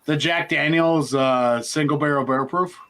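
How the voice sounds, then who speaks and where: A man's voice: on the high side, moderate pace, a bit bright. A man on a beer livestream panel, joking and rambling as he explains his tastes. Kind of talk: casual group chat.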